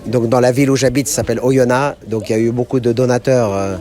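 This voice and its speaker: A man born and raised in France, a charity member speaking hesitantly with many pauses and fillers in an on-site interview. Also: mid-range voice, fairly even intonation, mellow. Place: outdoors at a Quranic school.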